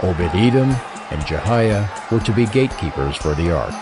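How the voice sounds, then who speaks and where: A man narrating scripture in a formal, deliberate scripted style. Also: low-pitched, animated. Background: music with plucked strings.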